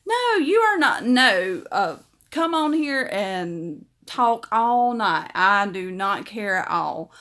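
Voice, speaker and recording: A woman's voice: mid-range voice, sing-song, slow pace, hardly any pauses. Female livestream host, middle-aged, casual and chatty. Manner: warm, chatty, reads comments aloud and answers them. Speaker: livestream host reading viewer comments.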